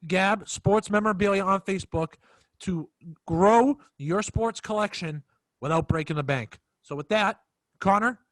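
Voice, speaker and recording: A man's voice: sits high, measured pace, a bit bright, dry recording, poor audio. A young man hosting a casual sports podcast with friends in a laid-back way, calling them fellas and reading sponsor spots. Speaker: podcast host.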